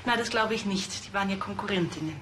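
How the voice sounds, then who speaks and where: Actress as small-town beautician, Bavarian accent, mid-range voice, matter-of-fact, crime comedy, clear background noise. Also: female voice, mid-range voice, fast talker, a bit bright, natural voice.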